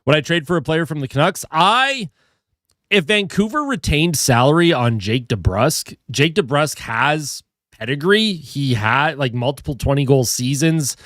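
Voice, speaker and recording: A man's voice: on the high side, lively intonation, unhurried, a bit bright, no room sound. Young guy with a stuffy cold streaming hockey talk, tired but chatty, dry close sound. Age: young adult. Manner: chatty, reads and greets viewer comments. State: a little tired. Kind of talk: casual live stream chat.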